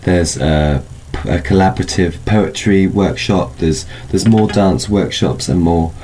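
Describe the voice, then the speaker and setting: A man's voice: low voice, unhurried, mellow, slight background noise. A man speaking as an event organiser in an informal interview, explaining things in a loose, hedging way. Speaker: film festival organiser, interview guest.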